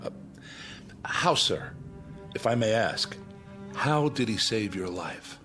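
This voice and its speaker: An earnest, deferential major in radio drama style. He speaks hesitantly and respectfully in a fairly low voice. There is faint music underneath. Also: expressive, slow, pushed and bright, exaggerated voice. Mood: earnest, curious.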